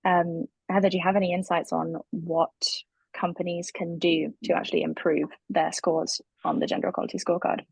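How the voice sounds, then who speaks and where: A woman with a husky, gravelly low voice hosts a webinar while losing her voice. She moderates in a friendly, easy way. The sound is dry and close. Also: little pitch movement, fairly quick, darkish tone, dry recording. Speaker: webinar host. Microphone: video call.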